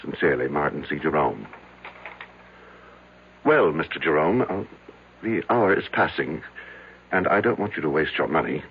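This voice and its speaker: An actor playing a calm, patient psychiatrist in an old-time radio drama, speaking in a measured, gently probing way. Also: male voice, extremely low pitch. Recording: muffled, faintly noisy old radio recording.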